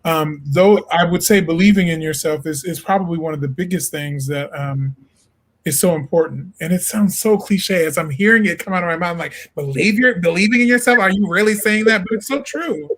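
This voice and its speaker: A man's voice: sits high, fairly quick. A man from Illinois, a jazz singer and interview guest, talking earnestly and reflectively. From Illinois, USA. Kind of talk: casual interview talk.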